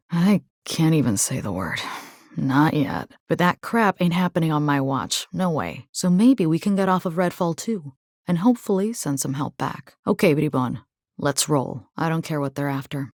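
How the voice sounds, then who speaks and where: An actress voicing a tough, caring young military rescue engineer in a video game, salty, wry and resolute. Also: female voice, deep voice, a little mellow, dry recording, studio quality. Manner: salty, plain-spoken, wry. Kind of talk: scripted video game character voice lines.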